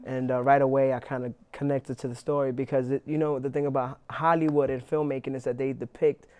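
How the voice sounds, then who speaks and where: Young New man, flat halting talk. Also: medium pitch, clean tone. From New York, Inwood. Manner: relaxed, halting with many restarts and fillers. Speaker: actor, interviewee. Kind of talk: casual interview.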